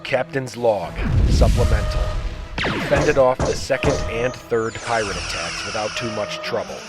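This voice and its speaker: An actor playing a wry, unrepentant starship captain in an audio drama, speaking in a dry, measured and formal way. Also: male voice, lowish voice, over loud music, lightly acted. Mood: grave, reporting. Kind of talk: science fiction audio drama acting.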